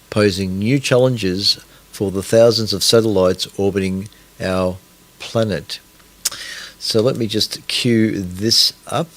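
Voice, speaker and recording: An Australian man hosting a casual astronomy radio broadcast, rambling and hesitant with many fillers as he sets things up. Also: lowish voice. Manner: rambling, hesitant, many fillers, talks to himself while setting up. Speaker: radio host.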